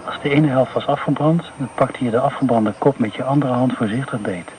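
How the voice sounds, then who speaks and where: An actor playing a brooding man haunted by war memories, halting and repeating phrases, in a radio play. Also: medium pitch, a little mellow, a little hiss or hum, natural voice, rough recording.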